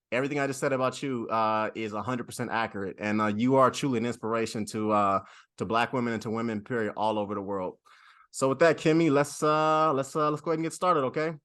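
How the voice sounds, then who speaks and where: A man's voice: medium pitch, fairly bright tone. A man hosting a podcast, talking casually with many fillers and repeats. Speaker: podcast host. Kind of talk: casual interview chat.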